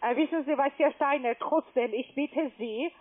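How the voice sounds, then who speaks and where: A woman on a phone call posing as a bank agent, speaking slowly in halting, broken sentences and repeating numbers back. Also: high voice. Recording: tinny phone line.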